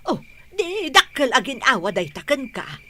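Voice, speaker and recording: A woman's voice: high voice, big pitch swings, moderate pace, background noise. An actress with an Ilocano accent playing a nagging, money-minded older mother in a radio drama, by turns pleading and scolding. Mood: pleading, pressing.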